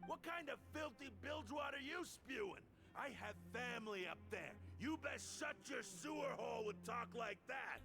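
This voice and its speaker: A man's voice: very high-pitched, lively intonation, normal speed, creaky, hardly any pauses, over music, exaggerated voice. An actor voicing a salty, scrappy male convict in a video game, blustering indignantly with slangy insults.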